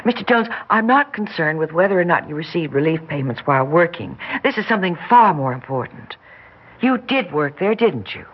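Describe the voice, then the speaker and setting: An earnest, insistent female caseworker in a 1960s radio drama. Her voice is husky and very animated in pitch, on a dull vintage recording. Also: brisk, exaggerated voice. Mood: firm. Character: determined, pleading woman welfare caseworker.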